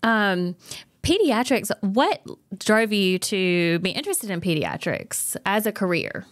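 A woman's voice: mid-range voice, lively intonation, a little slow, dry recording. A middle-aged woman co-hosting a podcast in a conversational interviewing style. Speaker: podcast co-host. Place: small indoor podcast studio. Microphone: boom-arm podcast microphone.

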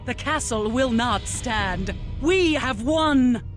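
An actress playing a stern, foreboding vampire elder in a video game, speaking formally and gravely in archaic phrases. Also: female voice, a little high, lively intonation, takes it slowly, soft background music. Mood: urgent.

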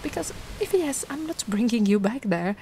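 A woman voicing a giant, sweet and curious young mermaid in an ASMR roleplay, gushing and doting in a gentle, cooing way. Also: on the high side, expressive, moderate pace, rough recording. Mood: worried, protective. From Italy. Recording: noticeable ambient background noise.

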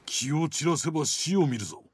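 An actor playing a stern, calm older samurai sword master in a game, solemn, measured and commanding. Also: male voice, on the high side, slightly rough. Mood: stern, instructive. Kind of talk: samurai game drama acting.

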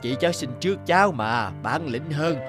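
An actor playing an elderly, stern and wise mouse elder in an animated cartoon, grave, measured and admonishing. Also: medium pitch, close to an everyday voice. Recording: music behind the voice.

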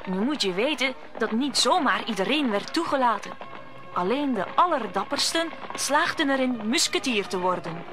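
A woman's voice: a little high, fairly bright tone. A woman narrating a scripted radio play as a storyteller.

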